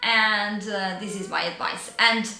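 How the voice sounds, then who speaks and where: Slow, hesitant Italian-accented woman. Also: medium pitch, fairly even intonation, creaky, reverberant. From Italy. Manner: hesitant, searching for words, frequent fillers and soft laughs. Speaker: vintage style vlogger and yoga teacher. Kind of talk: casual advice vlog.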